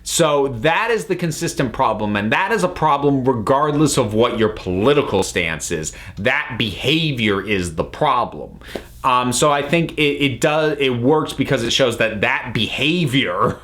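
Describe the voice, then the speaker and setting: A man's voice: medium pitch, animated, a little slow, a bit bright. A man giving an unscripted review as a commentator, talking analytically and often stopping to rephrase himself. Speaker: reviewer, channel host.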